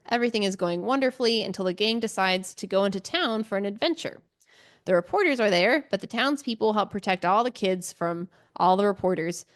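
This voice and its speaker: A woman podcast host with a mid-range voice talks through a story in a casual book club style. She is chatty and informal, dropping asides as she goes, with a giggly streak in her delivery. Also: medium pitch.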